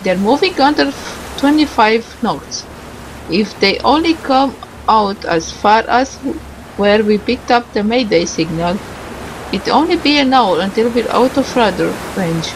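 High-voiced woman reading a game aloud. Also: lively intonation, measured pace, a little hiss or hum, low-quality recording. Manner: stumbles over words when reading, with self-corrections and asides. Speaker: gamer reading a visual novel aloud. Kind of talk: casual game commentary, reading on-screen dialogue aloud. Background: music.

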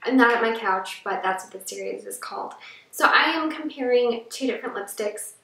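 A young woman with a froggy, allergy-roughened voice, chatting through a casual makeup review to camera, a little rambling with fillers. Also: on the high side, lively intonation, brisk, a bit bright. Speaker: beauty vlogger. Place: small room indoors. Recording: echoey room, a little far from the mic.

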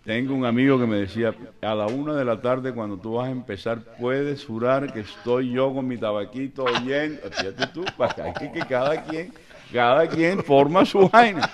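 A man's voice: mid-range voice, normal speed, crowd noise. Older male radio host, loose joking delivery, studio mic. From Colombia. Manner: animated, joking, rambling. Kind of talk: casual radio chat, storytelling. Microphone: studio mic with headphones.

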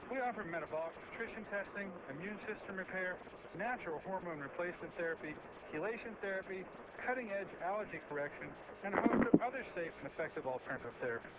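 A man, a physician, reading his own radio ad in a brisk, earnest way. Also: high-pitched. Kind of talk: scripted radio ad. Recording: tinny audio with loud noise.

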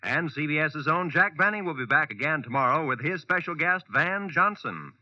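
A man's voice: on the high side, animated, normal speed, sharp tone, no echo. An American man announcing on 1940s radio in a polished, formal, scripted delivery. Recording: muffled old-time radio recording.

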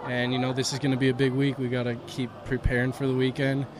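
A young man speaking in an even, flat tone, answering modestly and leaning on "you know" between thoughts. He talks into a handheld mic out in the stands of a ballpark. There is a clear wash of outdoor noise behind him. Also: mid-range voice, quick pace. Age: young adult. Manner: modest, soft-spoken, full of "you know" fillers. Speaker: college baseball pitcher. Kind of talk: post-game interview. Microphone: handheld mic held by interviewer.

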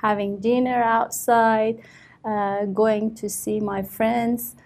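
A woman's voice: a little high, flat delivery, takes it slowly, a little mellow. A woman in her thirties from Iran with an Iranian accent, answering exam questions with many fillers and restarts in a small office. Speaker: test candidate. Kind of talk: mock speaking exam answers.

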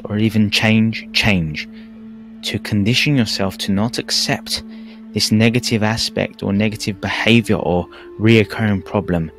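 A man with a fairly low voice leads a guided meditation. His delivery is calm and soothing, with measured phrases and pauses between them. Soft music plays faintly behind him. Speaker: meditation teacher. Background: background music.

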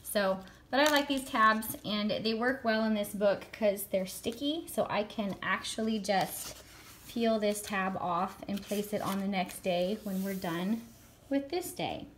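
A woman in her thirties with a slightly hoarse voice from a cold, chatting enthusiastically at home while showing homeschool books to a camera. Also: medium pitch, a little slow, a bit bright, slight background noise. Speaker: homeschool curriculum reviewer. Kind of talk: casual show-and-tell review. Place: home room with a world map.

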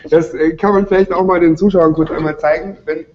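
A man's voice: on the high side, fairly even intonation, fairly quick, lo-fi sound, a crowd in the background. A man hosting a cooking show in a studio kitchen, joking and interviewing guests while cooking.

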